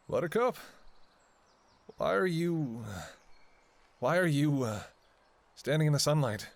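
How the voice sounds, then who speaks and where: Man acting a devoted, anxious lover, huskily expressive. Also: lowish voice, gravelly, heavily acted. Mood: uneasy, hesitant. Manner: hesitant, halting, emotionally raw. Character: devoted, anxious male doctor pleading with lover. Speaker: audio roleplay performer. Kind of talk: ASMR audio roleplay, intimate dramatic monologue.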